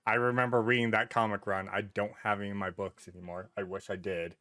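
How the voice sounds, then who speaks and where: A man hosting a podcast in casual conversation, animated and given to acting out imagined quotes. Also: lowish voice, quick pace, dry recording, studio quality. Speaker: podcast host.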